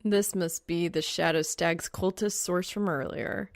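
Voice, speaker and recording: Creaky-voiced woman narrating a story. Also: lowish voice, fairly even intonation, a little slow, no room sound, studio quality. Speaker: audiobook reader of fan fiction.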